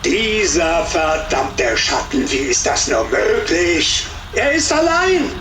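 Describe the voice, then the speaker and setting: A furious German officer. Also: male voice, on the high side, big pitch swings, slow, echoey room, distant mic, over music. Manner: angry, scornful, barking orders. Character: furious German officer, middle-aged man.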